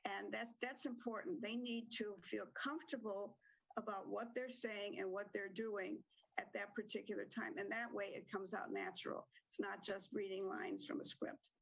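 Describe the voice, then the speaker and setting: A woman's voice: medium pitch, steady tone, normal speed, vocal fry, breathy edge, lo-fi sound. A woman theater director answering interview questions in a hesitant way, with many fillers.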